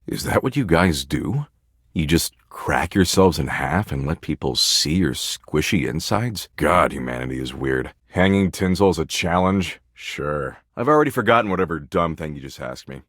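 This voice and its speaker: A man's voice: a bass voice, expressive, normal speed, a bit bright, no room sound, clean studio sound, full character voice. A man voicing a video game embodiment of existential dread, a jaded, foul-mouthed slacker with a flippant, laid-back, slangy drawl. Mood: bored, sardonic. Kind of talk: video game character voice lines.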